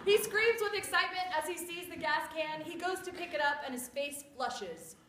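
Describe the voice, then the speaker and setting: A woman reading scripted story narration aloud in an expressive, theatrical way. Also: extremely high pitch, moderate pace. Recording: echoey room with clear background noise.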